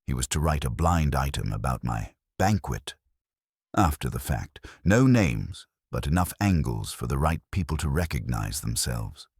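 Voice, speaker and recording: A man's voice: rumbling low, very animated, moderate pace, darkish tone, slightly rough, no echo, clean studio sound, heavily acted. A man voicing a vain, theatrical young schemer in a mystery audiobook, with clipped, arch, sardonic phrasing. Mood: smug, glib. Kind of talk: audiobook detective story dialogue.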